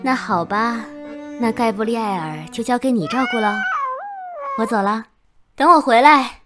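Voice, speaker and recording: Sweet, high-voiced doting mother played by a woman. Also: expressive, normal speed, natural voice. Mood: affectionate, playful. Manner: sweet, coaxing. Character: cheerful, doting young mother. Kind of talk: dubbed animated drama. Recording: loud music under the voice.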